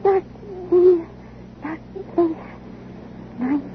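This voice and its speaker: An actress playing a timid, childlike young woman of a gentle future people, speaking halting broken English in a radio drama. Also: very high-pitched, full character voice. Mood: frightened. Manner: halting broken speech in few words. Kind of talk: old-time radio drama acting. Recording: muffled, tinny old radio recording, faint noise.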